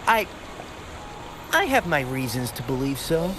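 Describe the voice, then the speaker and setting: A man's voice: mid-range voice, very animated, measured pace, background noise. An actor playing a suspicious, gossipy townsman in a video game, chatty and insinuating as he hands out frank advice. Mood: suspicious, indignant. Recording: music behind the voice. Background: game music.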